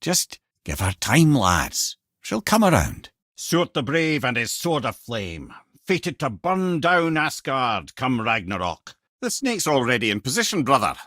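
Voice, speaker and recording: A man's voice: mid-range voice, wildly swooping pitch, a little slow, fairly bright tone, no room sound, clean studio sound, full character voice. An actor voicing a wise, wry older Scottish man, a talking severed head in a video game, with a theatrical, folksy manner. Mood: consoling, then wry, musing. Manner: wry, theatrical, folksy, addresses listeners as brother and lad. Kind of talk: expressive video game character acting, storytelling and banter.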